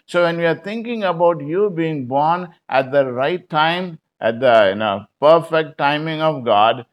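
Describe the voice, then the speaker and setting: A man's voice: fairly high pitch, animated, a little slow, dull audio. A man giving an evening Bible study sermon, talking conversationally with many fillers and repeating his key lines for emphasis. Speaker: preacher.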